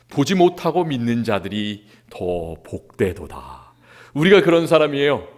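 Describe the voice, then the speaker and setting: A male pastor from Seoul preaching a sermon in a church, earnest, rising to emphasis and dropping quiet again. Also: fairly low pitch. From Seoul, South Korea. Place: church sanctuary.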